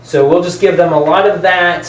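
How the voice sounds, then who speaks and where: A man co-hosting a casual discussion, arguing his opinions in a halting, conversational way and rephrasing as he goes. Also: a little high, fairly even intonation. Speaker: podcast co-host. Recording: roomy, distant mic, background noise.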